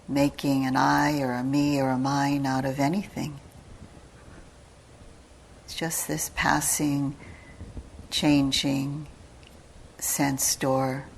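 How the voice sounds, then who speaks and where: A low-pitched woman leads a guided meditation at a very slow pace. She speaks calmly in short, measured phrases with long pauses between them, and her intonation stays very flat. She is a teacher giving step-by-step instruction. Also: vocal fry, slight background noise. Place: meditation retreat hall.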